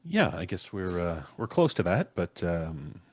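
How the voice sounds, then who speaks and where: A middle-aged man with a fairly low voice hosts a live show. He sounds jovial and a bit like a showman, moving between announcing and relaxed chat. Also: measured pace. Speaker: podcast host and announcer. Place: home room on a video call.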